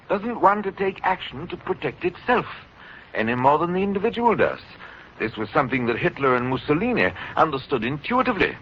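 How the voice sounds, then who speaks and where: Pompous villainous doctor, animated pitch. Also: male voice. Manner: pompous, measured, clinical. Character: coldly calculating male doctor, megalomaniac villain experimenting with fear. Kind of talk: 1940s radio acting. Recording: muffled, noisy 1940s radio recording.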